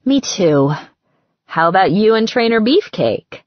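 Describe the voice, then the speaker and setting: A woman's voice: mid-range voice, very animated, unhurried, no echo, muffled recording, pitched above the performer's own voice. A woman voicing a frantic, bossy, dramatic bride-to-be in an audiobook, emphatic and slangy. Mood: earnest, teasing. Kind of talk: audiobook character dialogue.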